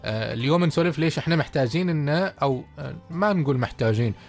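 A man with an Omani Arabic accent co-hosting a radio talk show, relaxed and full of hesitant fillers. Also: medium pitch, rapid-fire, creaky, faint music behind. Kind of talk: casual radio chat.

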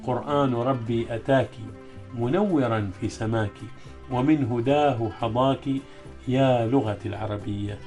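A male poet interviewed as a guest, speaking hesitantly and then reciting his poems in a slow, chanting cadence. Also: mid-range voice.

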